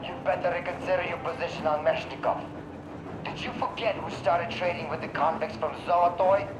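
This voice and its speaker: An actor playing a blunt, teasing survivor in a video game, scolding but friendly over a two-way radio. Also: male voice, on the high side, fairly even intonation, brisk. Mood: mocking, scolding. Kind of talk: dramatic game dialogue. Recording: echoey, noisy radio transmission.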